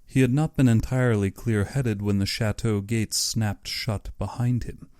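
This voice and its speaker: A man's voice: on the low side, fairly even intonation, normal speed, darkish tone, clear, resonant tone, dry recording, clean studio sound. A man narrating an audiobook story in a dramatic, scripted reading style.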